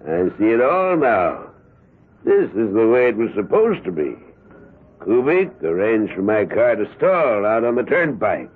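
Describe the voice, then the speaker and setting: A man's voice: on the low side, very animated, slightly rough, exaggerated voice. An older man playing an elderly, cold and boastful Nazi war criminal in hiding, in 1970s radio drama style. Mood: suspicious, menacing. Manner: halting and courteous, turning menacing and grandiose. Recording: muffled vintage radio broadcast.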